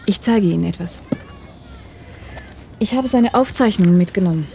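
A woman's voice: medium pitch, normal speed, gravelly, mellow, slight background noise, natural voice. A woman playing an astronaut's worried, exasperated partner in a German radio play, earnest in manner. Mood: worried, baffled.

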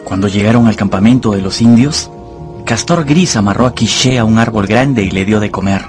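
A man's voice: fairly low pitch, fairly quick. A man narrating a dramatised story for radio from a script. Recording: music under the voice.

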